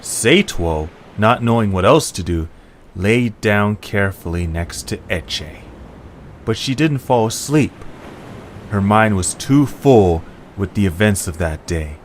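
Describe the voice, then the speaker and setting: A man narrates a story in a low voice. His delivery is slow and measured, read from a script, with some background noise. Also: animated.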